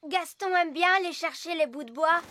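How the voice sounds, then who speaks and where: A woman's voice: extremely high pitch, moderate pace, very noisy. A woman voicing a sensible, polite young elf boy in a French cartoon, with an earnest, boyish delivery. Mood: cheerful. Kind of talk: French-dubbed children's cartoon voice acting.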